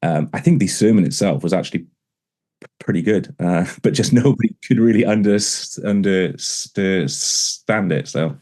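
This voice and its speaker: A man's voice: low-pitched, moderate pace, mellow. A man, a pastor, talking in an interview conversation, with a stammer that shows in repeated words and restarts.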